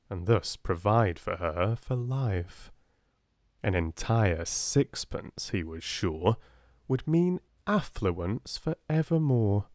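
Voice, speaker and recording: British male storybook narrator, soft-spoken, lowish and lively, dry close sound. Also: dry recording. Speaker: audiobook narrator.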